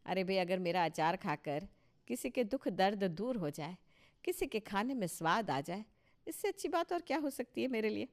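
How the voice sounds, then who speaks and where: A touchy, bossy older mother-in-law in a family cartoon, acted by a woman. Her pitch sits in the middle of the female range. She speaks with proud emphasis and a boastful, scolding manner. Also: animated, moderate pace, close to an everyday voice. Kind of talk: Hindi cartoon story dialogue.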